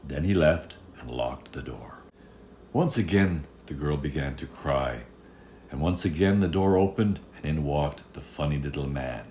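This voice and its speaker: Low-voiced man reading a fairy tale. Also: measured pace, dark tone, slight background noise. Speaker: storyteller. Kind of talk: read-aloud fairy-tale narration.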